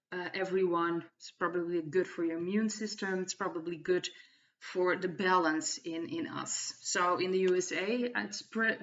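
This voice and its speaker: Woman, Dutch accent, lowish voice, hesitant, halting card-reading chat, dull lo-fi sound. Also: a bit bright, slight background noise. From Netherlands. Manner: halting, hesitant, restarts phrases often. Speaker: channel host doing card readings. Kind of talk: casual spoken tarot reading monologue. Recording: distant, dull lo-fi mic.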